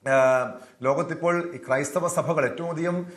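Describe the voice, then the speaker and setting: Malayali man, slightly high, in roomy sound. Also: unhurried. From Kerala, India. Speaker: debate panelist. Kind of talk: television panel debate commentary.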